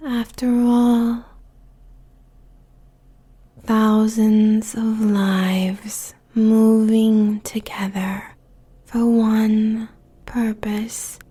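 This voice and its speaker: A woman playing a seductive, possessive demon queen succubus in an intimate roleplay monologue, whispering breathily with deliberate, sensual pauses. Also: medium pitch, dark tone, slightly rough, full character voice. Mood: indulgent, commanding.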